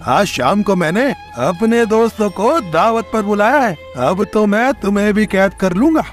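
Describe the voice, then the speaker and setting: A cruel tomcat villain from a children's cartoon, played by a man, taunting and menacing. The voice sits high for a male, with soft music underneath as he gloats. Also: expressive, normal speed. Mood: gloating menace.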